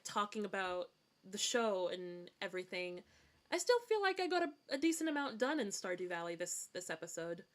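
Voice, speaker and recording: A woman from Scotland with a mixed American and British Scottish accent, chatting casually on a gaming livestream in a rambling, hesitant way that often circles back to repeat phrases. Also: medium pitch. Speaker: streamer.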